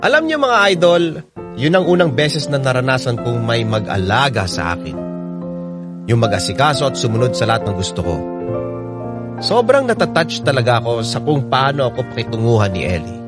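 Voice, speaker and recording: A man with a Filipino accent telling a first-person story in a chatty, confiding, expressive way. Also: mid-range voice, a little fast. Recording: loud music under the voice. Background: loud music.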